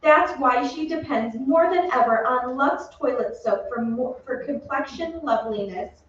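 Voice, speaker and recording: An actress playing a chatty, gushing Hollywood beauty reporter in a 1940s-style radio commercial on stage. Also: female voice, sits high, moderate pace, natural voice. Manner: bright-worded, gossipy, scripted banter. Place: theatre stage, away from the mic. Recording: very echoey stage, distant, dull, faint noise.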